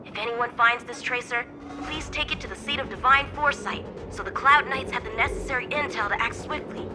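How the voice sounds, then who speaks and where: A woman's voice: a little high, fairly quick, music in the background, close to an everyday voice. A woman voicing an earnest, dutiful young boy soldier in a video game, speaking in formal, report-like phrases. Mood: urgent, formal. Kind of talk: video game character voice acting.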